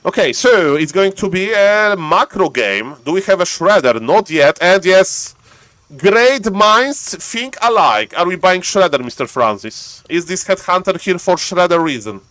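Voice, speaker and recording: A man from Poland with a Polish accent, casting a live strategy game in chatty, excitable English and answering his chat. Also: sits high, measured pace, no room sound. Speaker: game caster.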